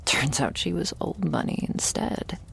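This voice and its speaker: A woman playing a jaded, wry, terminally ill shopkeeper who serves a vampire, speaking in an intimate, deadpan ASMR roleplay monologue. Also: slight background noise, exaggerated voice. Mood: dry, resigned. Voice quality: low, husky, gravelly. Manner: deadpan, sardonic, slow and pausing, swearing casually.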